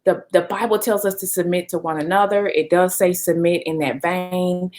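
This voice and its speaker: A woman's voice: fairly low pitch, steady tone, moderate pace, darkish tone. A middle-aged woman talking earnestly with her husband about family and communication, with frequent fillers. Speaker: wife co-host. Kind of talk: casual conversation.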